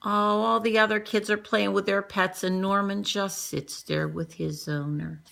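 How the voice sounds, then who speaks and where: A woman's voice: medium pitch, unhurried, gravelly. A woman reading a children's picture book aloud like a teacher, expressively acting out the story and commenting as she goes. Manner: animated, acts out dialogue and comments on the pictures.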